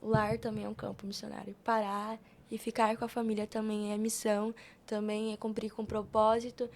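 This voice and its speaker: A woman's voice: on the high side, darkish tone. A young woman with a Brazilian accent chatting informally and telling personal stories on a podcast. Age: young adult. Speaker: podcast guest. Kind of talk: casual podcast conversation.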